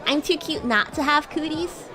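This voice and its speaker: A woman's voice: high voice, moderate pace, music in the background, crowd noise, lightly acted. A woman voicing a bratty, cute demon classmate in an improvised VTuber roleplay, teasing and coy, easily flustered into stammering. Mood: bashful. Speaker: VTuber streamer. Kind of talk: improvised VTuber roleplay, casual chat with viewers.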